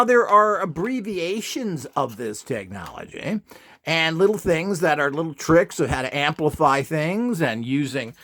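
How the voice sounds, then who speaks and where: A man's voice: fairly high pitch, a bit bright. A man giving an informal, opinionated lecture in a blunt, scornful and emphatic manner, as a presenter selling devices. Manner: rhetorical questions, mimicked quotes. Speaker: presenter and seller of radionics devices.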